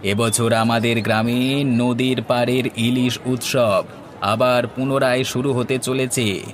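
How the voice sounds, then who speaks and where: A man's voice: medium pitch, fairly even intonation, slow pace, slight background noise, natural voice. Man acting an earnest, declamatory village man. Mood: proclaiming. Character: earnest, brave village man rallying his neighbours. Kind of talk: Bengali cartoon voice acting. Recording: music playing behind the voice.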